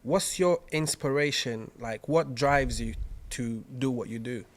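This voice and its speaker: A man from Angola, a guest rapper, talking calmly and reflectively on a podcast, often adding "you know". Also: mid-range voice, a little slow.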